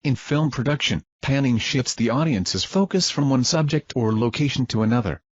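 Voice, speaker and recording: A man with a slightly husky voice delivers formal, scripted research narration. He reads in a measured, expository way at a middling pitch. The recording is dry and a little dull. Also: expressive, dry recording. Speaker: research presenter.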